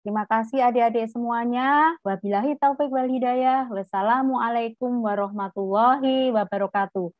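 Middle-aged woman doing an opening speech on a video call, lots of pauses, dull dry audio. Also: fairly high pitch, dry recording. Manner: measured, many filler "eh" pauses. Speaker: opening speaker, doctor. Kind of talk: formal opening address. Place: indoor room. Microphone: video call webcam.

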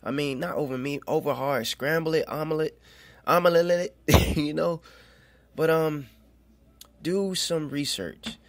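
A man's voice: fairly high pitch, fairly even intonation, measured pace, dry recording. A man hosting a podcast, giving loose, conversational religious commentary that wanders from point to point, with small laughs along the way. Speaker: podcast host. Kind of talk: casual spoken religious commentary.

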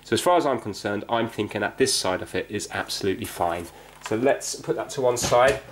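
A man's voice: lowish voice, fairly quick. A man giving casual hands-on repair commentary, thinking aloud and often checking himself with questions. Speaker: amateur repair video host. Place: indoors on a wooden floor. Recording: clicky, noisy room recording.

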